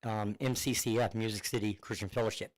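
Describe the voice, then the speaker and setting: A man's voice: on the low side, fairly even intonation, a little fast. A middle-aged man with a northern US accent talks casually as a singer guest on a radio show. He rambles and restarts, with his speech full of fillers, and he is heard over a phone line. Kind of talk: casual radio interview chat. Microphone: Bluetooth phone line.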